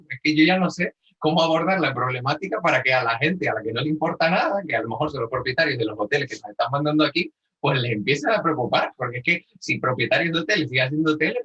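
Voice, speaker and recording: A man's voice: a little high, bright, forceful tone, husky, highs cut off. A man giving his opinions casually, in a wry, rambling and informal way. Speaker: participant in a group discussion.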